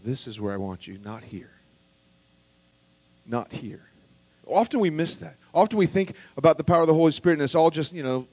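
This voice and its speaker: A man preaching a sermon as pastor, earnest and conversational, rising to emphatic peaks and dropping quiet again, with restarts and asides to the congregation. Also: high-pitched, dry recording.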